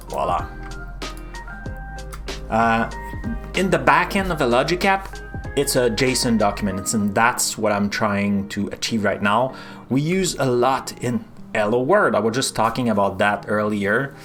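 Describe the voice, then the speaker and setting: A man's voice: mid-range voice, unhurried, over music. Chatty man with a French accent. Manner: chatty, rambling, self-interrupting with fillers. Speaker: live coding streamer. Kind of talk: casual live-stream tech chat.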